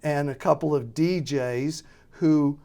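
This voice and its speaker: Halting American man in an interview. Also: on the high side, mellow. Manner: halting, thinking aloud. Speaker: interview guest, radio drama producer. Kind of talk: casual interview talk.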